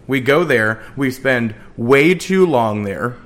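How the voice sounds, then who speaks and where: A man in the middle of his range co-hosting a casual true-crime podcast. He teases his partner playfully and breaks into laughter often. His pitch sits right at the average. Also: animated, takes it slowly, a bit bright. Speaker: podcast co-host. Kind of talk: casual banter about a true-crime case.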